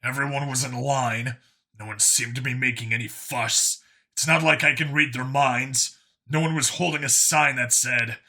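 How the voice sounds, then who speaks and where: A blunt human male detective in a sci-fi audiobook, played by a man. He sounds irritable and defensive, with a very bright, forceful tone and a husky grain at a middling pitch. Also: steady tone, moderate pace. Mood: irritated, defensive. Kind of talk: audiobook character acting.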